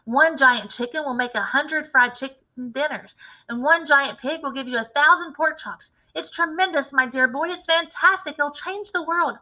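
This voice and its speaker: A woman voicing a wildly excited, impatient, domineering farmer father in a storybook read-aloud, exclamatory and emphatic. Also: high-pitched, normal speed, pitched above the performer's own voice, rough recording. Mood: excited, urgent.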